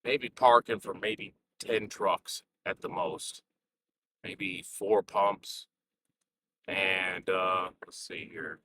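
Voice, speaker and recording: A man's voice: low voice, very slow, background noise. A man hosting a trucker vlog, chatting casually in his truck cab while he drives. He is congested with a sore throat, and he curses at other drivers. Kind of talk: casual chat while driving. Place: inside a semi truck cab on the road.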